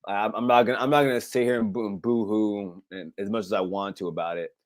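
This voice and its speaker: A man's voice: on the low side, steady tone, fast talker. A man in his thirties co-hosting a sports talk show, stammering and joking. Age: 30s. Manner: stammering, jokey. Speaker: podcast co-host.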